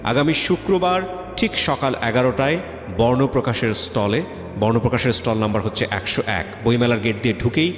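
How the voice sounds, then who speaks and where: Radio host: man, Bangladeshi accent, lowish pitch, fast conversational delivery, heavy echo. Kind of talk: conversational radio interviewing and addressing listeners. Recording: echoey hall-like reverb, faint music.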